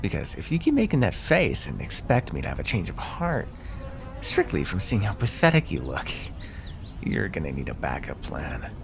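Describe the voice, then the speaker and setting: A man's voice: low-pitched, wildly swooping pitch, normal speed, gravelly, background noise, exaggerated voice. A man voicing a smug, predatory naga who teases and threatens with mocking condescension in an ASMR fantasy roleplay. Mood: smug, threatening. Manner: mocking, condescending, drawling pet names. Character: smug predatory male naga, teasing and menacing.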